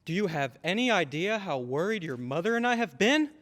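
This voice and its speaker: Man playing exasperated father, radio drama, high voice, very animated intonation, emphatic, stern. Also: fairly quick. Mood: scolding, exasperated. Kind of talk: old-time radio drama acting. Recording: long hall reverb.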